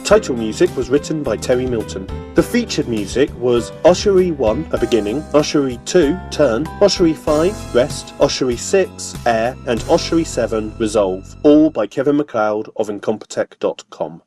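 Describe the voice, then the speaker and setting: A man's voice: medium pitch, lively intonation, moderate pace, few pauses, over music. A man reading a scripted announcement as the credits announcer for an audio drama.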